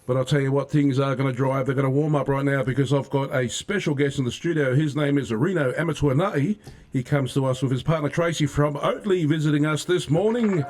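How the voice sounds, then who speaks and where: A man's voice: medium pitch, fairly quick, a little mellow. A man of Samoan family, hosting a casual studio chat, jovial and chatty, often laughing. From Samoan family in Australia. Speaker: host.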